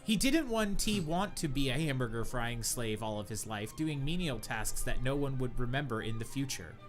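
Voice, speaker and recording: A young man reads a story aloud in a mock-earnest, theatrical way, dropping dry sarcastic remarks between the lines. His voice sits in a middle pitch, with faint music in the background. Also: lively intonation, normal speed. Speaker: podcast host reading fanfiction aloud. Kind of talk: comedic dramatic reading with sarcastic asides.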